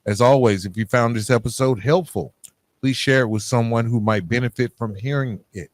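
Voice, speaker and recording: A man's voice: mid-range voice, moderate pace, dry recording, studio quality. A man hosting a podcast in a casual, slangy and encouraging way, speaking conversationally to guest and listeners. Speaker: podcast host. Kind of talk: casual conversational interview and sign-off.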